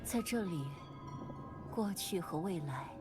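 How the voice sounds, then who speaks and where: A calm mysterious sea lady from an anime game, lowish soft breathy voice, game music behind. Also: a little slow. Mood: composed. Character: calm, mysterious, gentle woman of the deep sea.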